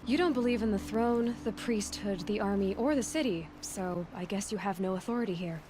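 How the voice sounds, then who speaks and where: A woman's voice: medium pitch, fairly quick, noisy background, close to an everyday voice. A woman voicing a self-assured young female hunter in a video game, speaking in a direct, wry way. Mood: firm, scornful.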